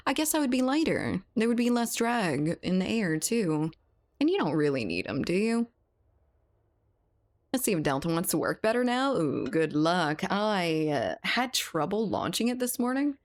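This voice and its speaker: A woman's voice: medium pitch, very animated, normal speed. A woman from South Louisiana streaming a game, chatting casually with her viewers and laughing often. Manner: chatty, laughs often, reads and answers chat. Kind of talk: casual live-stream chat while gaming.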